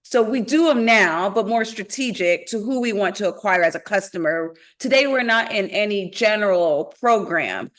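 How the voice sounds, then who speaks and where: A woman's voice: medium pitch, expressive, normal speed, hardly any pauses, a bit bright. A woman founder on a webinar panel, giving practical advice and listing concrete examples over a video call. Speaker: climate tech founder on a panel.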